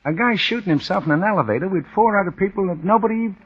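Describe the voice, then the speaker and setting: A man's voice: high-pitched, fast, slightly rough, lo-fi sound. An actor playing a nervous working-class man with a broken leg who stammers and talks ungrammatically in a 1940s radio drama.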